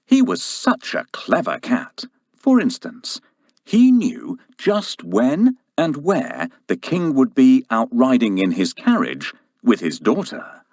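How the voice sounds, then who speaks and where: Guy reading a kids fairy tale, slow n deliberate, really up and down, dry close sound. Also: mid-range voice, no echo. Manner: expressive, deliberate. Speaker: storyteller, narrator. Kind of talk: scripted children's story narration.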